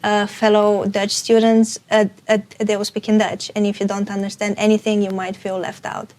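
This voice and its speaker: A woman's voice: medium pitch, completely flat delivery, normal speed, a little mellow. A young woman from Romania, an international student guest, talking earnestly in a casual panel chat with many restarts. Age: young adult.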